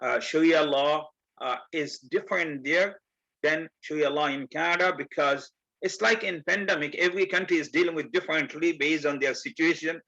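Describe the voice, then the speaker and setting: A man from Bangladesh giving a community presentation, explaining step by step and earnestly, with many pauses. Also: on the high side, gravelly, sharp tone. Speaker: guest speaker. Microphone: video call. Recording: muffled video call audio.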